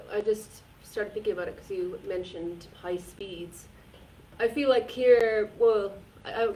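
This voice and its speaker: A woman, co-hosting a relaxed live stream and talking earnestly and chattily, often restarting her sentences. Her voice sits at an ordinary middle pitch. She sounds set back from the microphone, with a faint background hum. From Finland. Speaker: co-host. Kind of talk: casual live-stream chat. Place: community repair centre. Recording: distant mic with faint background noise.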